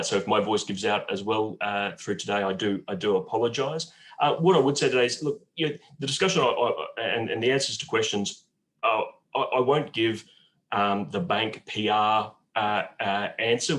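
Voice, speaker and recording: A man in his forties with a croaky cold voice, speaking haltingly with many fillers as a bank guest on a webinar. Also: fairly low pitch, fairly even intonation, a bit bright. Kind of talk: webinar talk answering questions. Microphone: video call.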